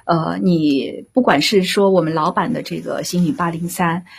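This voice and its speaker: A woman with a lowish, very husky voice in an explanatory discussion. She speaks as a guest director, deliberately and with measured phrasing, repeating the points that matter to her. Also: steady tone.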